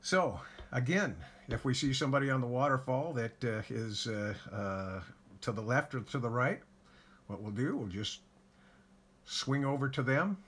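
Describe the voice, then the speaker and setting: A man calmly walking through his ham radio station setup in a casual how-to, with lots of filler words. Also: medium pitch, normal speed. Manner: relaxed, folksy, frequent "uh" fillers. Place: home radio shack by a window.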